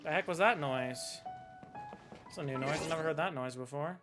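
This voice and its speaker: A man giving casual, jokey gameplay commentary as a streamer, goofy and excitable, mimicking characters as he goes. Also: fairly high pitch, brisk, music in the background. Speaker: game streamer.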